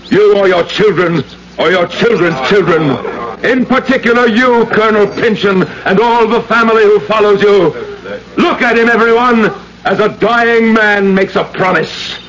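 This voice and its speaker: A man playing a condemned man in a radio drama, cursing his persecutor with loud, declamatory delivery. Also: high voice, measured pace. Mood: vengeful, defiant. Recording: noisy old radio recording.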